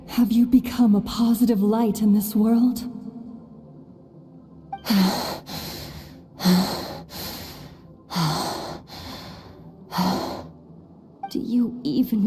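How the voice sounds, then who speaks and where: A woman's voice: a little high, fairly even intonation, extremely slow, pauses often, warm tone, in a large hall. A woman voicing a solemn, bitterly accusing figure in an anime-style game. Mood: bitter, reproachful.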